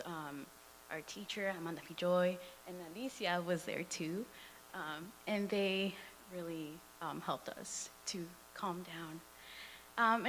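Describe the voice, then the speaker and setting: A woman's voice: mid-range voice, fairly bright tone, slight background noise, poor audio. A woman in her twenties whose first language is Spanish, a teacher reading a prepared public comment with occasional fillers at a school board meeting. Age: 20s. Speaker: dual immersion teacher.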